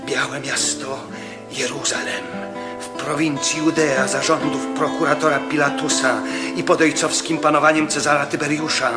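An actor playing a fervent apostle preaching solemnly to a Roman governor in a radio drama. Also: male voice, fairly high pitch. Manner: impassioned, solemn, declamatory. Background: music.

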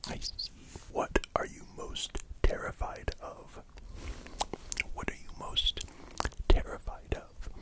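A performer voicing a playful, gently menacing vampire dog count in a slow, repetitive ASMR whisper, close to the microphones. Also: lightly acted. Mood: playful, soothing. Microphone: close pair of microphones.

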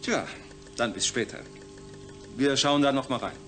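An actor playing a brisk, authoritative project scientist in audio drama dialogue. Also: male voice, medium pitch, fairly bright tone, faint music behind, lightly acted.

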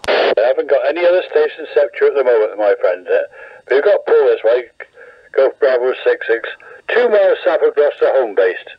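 A man's voice: mid-range voice, very animated, fairly quick, slightly rough. A British man in friendly, casual chat as a radio operator, heard through a CB radio speaker. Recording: dull band-limited CB radio transmission.

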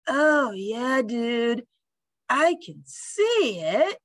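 Woman as a slangy, animated storybook dog dude. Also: on the high side, very slow, dry recording, clean studio sound, heavily acted. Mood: sheepish, laid-back. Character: laid-back, nap-loving young male dog, slangy dude. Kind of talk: children's storybook character voice.

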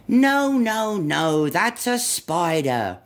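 A woman's voice: on the low side, very animated, drawn-out words, exaggerated voice. A woman reading a storybook aloud as a lost, upset young monkey who protests in exasperation. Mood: exasperated.

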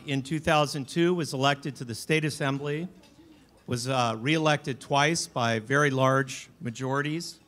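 A man at a podium in a large banquet hall introducing the keynote speaker, friendly and a little halting, chuckling between facts. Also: medium pitch, fairly bright tone. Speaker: event host introducing speaker. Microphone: podium microphone.

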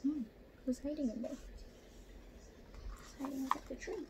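A young woman reading a picture book aloud expressively at home, pausing to ask child listeners questions. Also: high-pitched, fairly even intonation, heavy background noise. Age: young adult. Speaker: storyteller for children. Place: small room at home, indoors.

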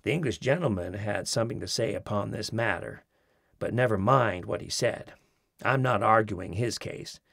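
Gravelly-voiced man reading wryly. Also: fairly low pitch, normal speed, a bit bright, dry recording. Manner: mimics accents in quoted phrases, dry wit. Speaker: audiobook narrator. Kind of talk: expressive reading of a humorous essay. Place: studio. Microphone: close studio mic.

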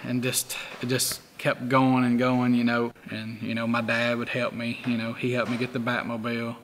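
A man in his forties, a car museum owner, talking in a relaxed, rambling way with many fillers in a documentary interview. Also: medium pitch, normal speed, in a large hall, over music. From Tennessee. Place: garage workshop full of memorabilia.

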